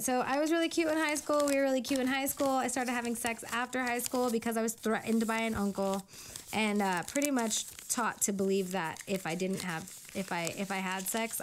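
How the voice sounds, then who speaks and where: A woman's voice: a little high, fairly even intonation, fairly quick, a little hiss or hum. A woman whose first language is Spanish, chatting casually on her own show in a rambling, filler-filled way. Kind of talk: casual rambling chat, reading old letters aloud.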